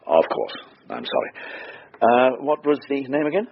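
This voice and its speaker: A man's voice: mid-range voice, huge pitch swings, moderate pace, vocal fry, lo-fi sound, a little hiss or hum. A man acting in a radio drama as a polite, hesitant and guarded bank manager. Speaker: actor.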